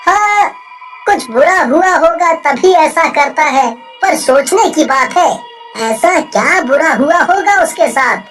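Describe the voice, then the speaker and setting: Brief: woman as a high-voiced, earnest young cartoon villager, brave and plain-spoken. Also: expressive, takes it slowly, heavily acted, low-quality recording. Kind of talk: cartoon voice acting. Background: soft music.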